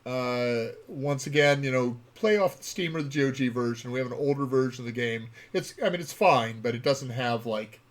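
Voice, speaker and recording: A man's voice: medium pitch, moderate pace, hardly any pauses. A man chatting casually and playfully as he co-hosts a livestream about game development. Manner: playful, punning.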